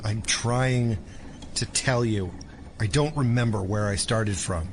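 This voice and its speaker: A man's voice: lowish voice, slow, slight background noise. A man playing a confused, exasperated captive ex-agent defending himself in an audio drama. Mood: exasperated, insistent. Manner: insistent, exasperated.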